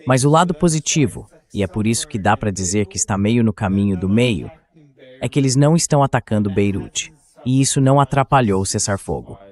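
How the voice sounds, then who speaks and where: A man's voice: on the low side, very animated, fairly quick, a little mellow. A man with a Brazilian Portuguese accent, a synthetic voice-over for a conversational news discussion.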